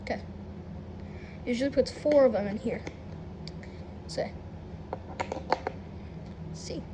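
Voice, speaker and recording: High-pitched, slow-talking woman, noisy recording. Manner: halting, muttering to self in short phrases. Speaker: unboxing video host. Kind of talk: casual unboxing and setup narration. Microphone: handheld camera or phone, no tripod. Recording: noisy handheld home video recording.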